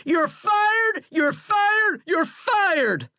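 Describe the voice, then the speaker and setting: A man's voice: on the low side, little pitch movement, brisk, a bit bright, clean tone, no echo, studio quality, natural voice. A man voicing a furious, petulant, self-important American president in an audiobook, blustering and defensive. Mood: screeching fury. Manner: blustering, defensive, prone to screeching. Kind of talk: audiobook character acting.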